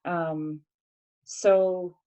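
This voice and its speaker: A woman's voice: fairly low pitch, steady tone, drawn-out words, mellow, highs cut off. A middle-aged woman from Canada in casual conversation, talking reflectively with many fillers and restarts. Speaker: podcast guest.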